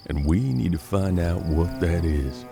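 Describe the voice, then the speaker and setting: An actor playing a seasoned, gruff frontier detective in a western audio drama, measured and world-weary, with a gravelly voice. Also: male voice, low-pitched, expressive, music in the background, exaggerated voice. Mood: grave, watchful.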